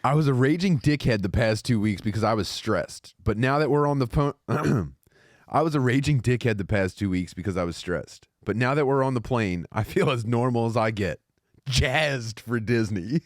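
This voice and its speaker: A man's voice: medium pitch, normal speed, no echo, clean studio sound. A man in casual, profane podcast conversation, bantering informally and reading passages aloud. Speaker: co-host reading aloud from a book.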